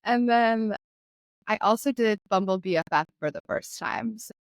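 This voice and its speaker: A woman's voice: mid-range voice, normal speed, dry recording. Young woman host, casual and chatty with easy laughs, dry close-mic sound. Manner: relaxed and chatty, laughs often. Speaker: podcast host. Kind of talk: casual conversational chat.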